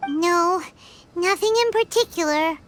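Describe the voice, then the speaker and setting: A woman voicing a tiny, chirpy, childlike fairy companion in an anime-style video game, excitable, bossy and given to whining. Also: very high voice, unhurried. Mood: curious, let down. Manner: speaks of self in third person, whiny and perky. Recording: loud music behind. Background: music.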